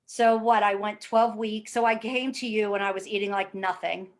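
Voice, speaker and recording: A woman's voice: mid-range voice, fairly even intonation, moderate pace. A woman in her forties speaking candidly and emphatically about her own experience as a fitness program client, with blunt, casual swearing. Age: 40s. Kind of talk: candid testimonial conversation.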